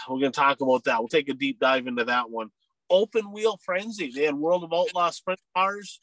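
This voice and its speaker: A man with a stuffed-up, nasal voice from a head cold hosts a racing podcast, chatting in a loose, upbeat way full of restarts. His voice sits a bit high for a man, and the recording is close and dry, with no room sound. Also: fairly bright tone, no room sound, rough recording. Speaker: podcast host. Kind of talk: casual racing podcast chat.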